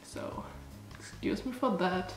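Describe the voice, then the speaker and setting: A woman's voice: medium pitch, takes it slowly, roomy sound, faint music behind. A young woman from Germany with a stuffy, blocked-up nose from allergies, chatting casually as she tastes snacks for her vlog. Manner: chatty, rambling, lots of "like" and "um". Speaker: food vlogger. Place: small cottage room, indoors. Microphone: camera in front, close framing.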